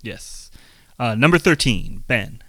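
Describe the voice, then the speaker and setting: A man co-hosting a podcast in casual chat, earnest and reflective, with a voice turning raspy from being sick. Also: mid-range voice, expressive, slow pace, a bit bright, no room sound. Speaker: podcast co-host.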